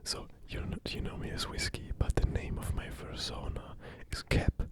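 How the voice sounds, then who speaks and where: A man with a German accent doing a casual whispered ASMR monologue, gently and with pauses. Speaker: ASMR creator.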